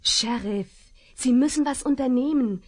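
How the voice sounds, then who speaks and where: A young actress playing a frightened but kind rancher's daughter in a Western radio play, pleading and anxious. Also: female voice, high voice, unhurried. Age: young adult. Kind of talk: 1970s German radio play acting.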